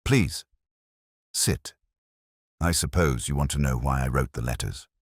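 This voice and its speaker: Guilt-burdened Victorian man, deep-voiced male narrator. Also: measured pace, no room sound, studio quality, heavily acted. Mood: quiet, resigned. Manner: quiet, uneasy, confessional. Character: thin, guilt-burdened Victorian man in his early forties. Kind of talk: dramatised story reading.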